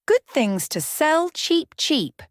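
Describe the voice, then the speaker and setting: A woman's voice: high voice, very animated, slow pace, no echo, studio quality, pitched above the performer's own voice, heavily acted. A woman voicing a deceitful old peasant woman peddler in a storybook, coaxing and wheedling.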